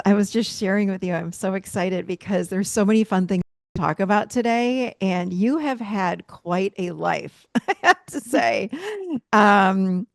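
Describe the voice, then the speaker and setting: A woman's voice: mid-range voice, vocal fry, no room sound, studio quality. A woman hosting her podcast show, welcoming a guest with enthusiastic exclamations. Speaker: podcast host. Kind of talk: interview host chat.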